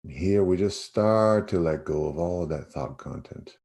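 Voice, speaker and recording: Man, very low voice, dark and relaxed tone, meditation teacher, guided yoga nidra, spacious pauses.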